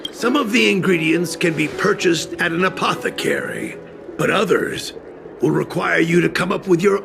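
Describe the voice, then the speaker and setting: An actor playing a proud, fanatical cult leader and martial artist in a video game, speaking in a pompous, deliberate, menacing way. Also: male voice, a little high, creaky, full character voice. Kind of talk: dramatic video game voice acting.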